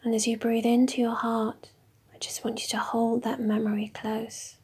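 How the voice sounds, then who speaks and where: A woman leading a guided meditation in a slow, soft, mostly whispered voice. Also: on the high side, monotone, slightly rough.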